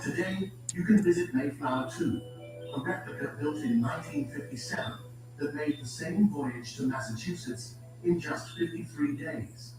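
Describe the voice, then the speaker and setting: A man reading scripted documentary narration in an even, informative way. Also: fairly high pitch, normal speed, far from the mic. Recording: echoey video playback from off-mic with music.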